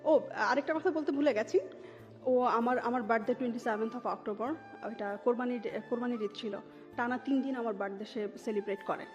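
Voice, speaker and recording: A woman with a Bangladeshi accent recounts her own life as an interview guest. Her voice sits fairly high and she slips English words into her sentences. The recording is a bit muffled, with music clearly playing underneath. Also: normal speed. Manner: mixes English words and phrases into talk. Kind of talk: personal storytelling in an interview.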